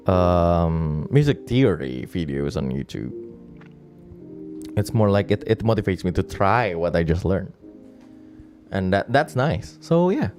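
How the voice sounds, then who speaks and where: A man's voice: deep voice, mellow. A man chatting casually and rambling on a late-night stream, with many restarts and laughs. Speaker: virtual streamer.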